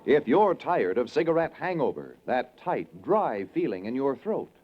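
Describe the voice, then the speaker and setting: A man reading a 1940s radio advertisement with emphatic, deliberate phrasing. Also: mid-range voice, big pitch swings, husky. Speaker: commercial announcer. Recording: dull 1940s radio recording.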